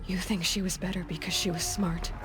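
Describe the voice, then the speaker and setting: A woman's voice: on the low side, little pitch movement, fairly quick, over music, lightly acted. A woman playing a compassionate, resolute heroine in a dramatic video game cutscene. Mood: grieving, defiant.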